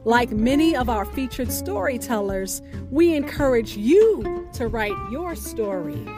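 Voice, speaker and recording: A woman radio host delivering a scripted show outro in a deliberate, carefully enunciated announcing manner. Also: on the high side, expressive, music in the background.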